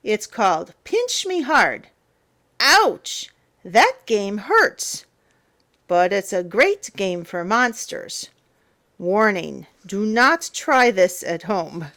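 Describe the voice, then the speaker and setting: Woman reading slowly and very animatedly to children. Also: medium pitch, very animated, a bit bright. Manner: theatrical, playful, emphatic delivery with drawn-out words. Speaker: storyteller, teacher. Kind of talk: children's picture book read-aloud.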